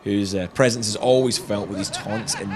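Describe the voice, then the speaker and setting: A man's voice: fairly low pitch, normal speed, crowd noise, noisy background. A man narrating a scripted video game review with jokey, chuckling asides.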